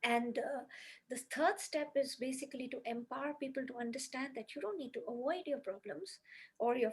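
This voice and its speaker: A woman's voice: on the high side, husky. A woman on a podcast earnestly explaining her music healing method step by step, with many "you know"s.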